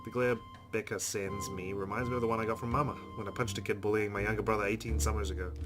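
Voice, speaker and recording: A man's voice: fairly low pitch, level tone, quick pace, over music. A man reading visual novel narration aloud as a let's play streamer, with casual asides.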